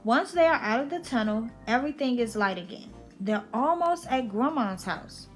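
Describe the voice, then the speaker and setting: A woman's voice: on the high side, lively intonation, moderate pace, soft background music. A woman reading a children's picture book aloud as a storyteller on her channel.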